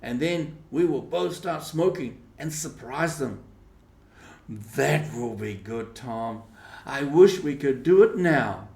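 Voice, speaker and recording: A man voicing an eager, boastful young boy in storybook dialogue, with an excited, emphatic delivery. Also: mid-range voice, takes it slowly, vocal fry. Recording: roomy, echoing voice recording.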